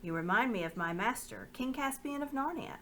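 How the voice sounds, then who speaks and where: A woman's voice: a little high, expressive, brisk, bright, forceful tone, slight background noise, pitched above the performer's own voice. A woman reading the part of a loyal middle-aged nobleman, speaking earnestly and courteously.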